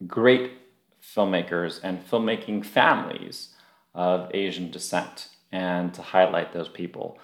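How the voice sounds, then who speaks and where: A man hosts a podcast interview in a relaxed, conversational manner, his voice sitting fairly low. He records remotely from a room with noticeable echo around him. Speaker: podcast host.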